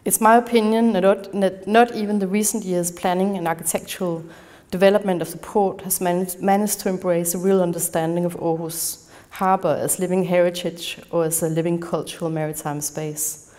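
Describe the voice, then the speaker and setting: A woman from Denmark with a Danish accent, giving an academic conference talk in a measured manner, partly reading from a prepared text. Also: on the low side, steady tone, warm tone. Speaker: conference speaker. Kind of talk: academic lecture. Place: large darkened lecture hall.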